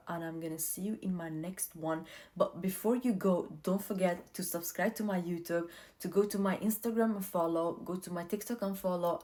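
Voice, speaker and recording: A woman's voice: lowish voice, darkish tone. A young woman whose first language is not English, talking casually to camera and giving earnest life advice, often repeating herself for emphasis.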